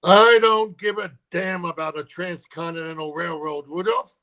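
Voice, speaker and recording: Man as imperious Southern railroad tycoon, higher-pitched. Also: steady tone, moderate pace, pushed and bright. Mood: angry, boastful. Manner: imperious, contemptuous, speechifying. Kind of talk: dramatic table read of a western.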